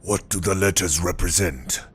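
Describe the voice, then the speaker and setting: A man playing a stern, proud ninja clan warlord and villain, with a gravelly voice and formal, haughty delivery. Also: low-pitched, normal speed. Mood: cold disdain. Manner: formal, haughty, clipped disdain. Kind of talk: audio drama villain acting.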